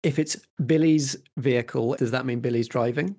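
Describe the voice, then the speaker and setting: Deliberate male game master, medium pitch. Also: dark tone. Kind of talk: narrating and refereeing a tabletop roleplaying game.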